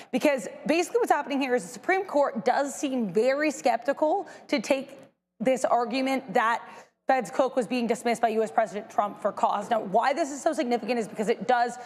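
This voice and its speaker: Fast-talking woman giving a market briefing. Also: a little high, darkish tone, in a large hall. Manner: brisk, self-correcting explainer. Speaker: market news reporter. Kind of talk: quick news briefing.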